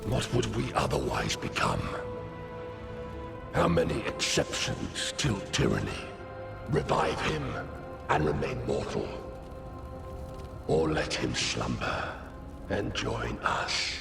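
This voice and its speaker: An actor playing a stern, ancient undead lich in a fantasy game, grave and formal with a gravelly voice. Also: male voice, on the low side, wildly swooping pitch, slow, long pauses, over music, exaggerated voice. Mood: solemn, stern. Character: solemn ancient male undead lich elder, stern judge.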